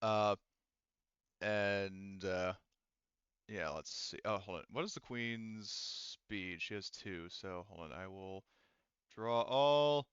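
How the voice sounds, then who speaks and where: A man's voice: lowish voice, takes it slowly, fairly bright tone, clear, resonant tone, no room sound, lo-fi sound. A man running a tabletop role-playing game as game master, casually thinking aloud as he handles the rules.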